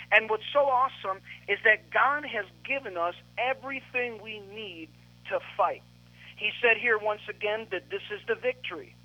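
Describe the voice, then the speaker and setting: A man's voice: high voice, muffled recording. A man preaching and praying as a radio pastor, fervent and stretching key words for emphasis. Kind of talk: radio sermon with prayer. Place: radio studio.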